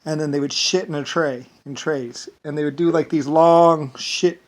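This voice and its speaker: A man's voice: on the high side, steady tone, moderate pace. An American man with an Irish-sounding lilt, talking in a rambling, meandering casual monologue. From United States. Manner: rambling, meandering, leans on "basically".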